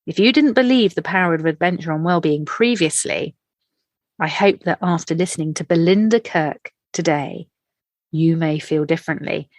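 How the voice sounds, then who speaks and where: A woman's voice: low voice, no echo, clean studio sound. A middle-aged British woman hosting a podcast, reading an enthusiastic introduction. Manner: enthusiastic, warm laughter. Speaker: podcast host. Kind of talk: scripted podcast introduction then interview.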